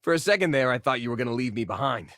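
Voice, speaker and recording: A man's voice: medium pitch, expressive, very fast, fairly bright tone, no echo, studio quality. A male actor voicing an upbeat, joking young space miner as a companion in a video game, with casual, eager delivery. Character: upbeat, wisecracking young space miner, rock-collecting homebody crewmate.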